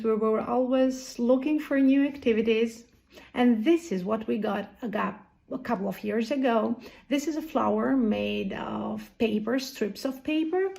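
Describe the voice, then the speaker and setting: A woman speaks cheerfully about her work in a testimonial. Her voice sits a little high and has a gravelly edge. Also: a little mellow. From Albania. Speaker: mother and small entrepreneur. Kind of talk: video testimonial.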